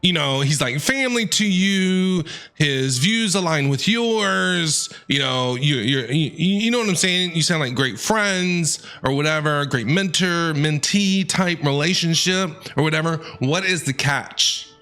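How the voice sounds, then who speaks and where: A man's voice: a little high, animated, measured pace, pushed and bright. A man giving casual, joking live game commentary, repeating himself and talking back to the characters. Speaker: game streamer. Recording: faint game music behind the voice.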